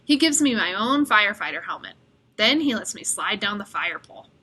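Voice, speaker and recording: A woman reading a children's story aloud with expressive delivery. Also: mid-range voice, brisk, slightly rough, low-quality recording. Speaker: storybook reader.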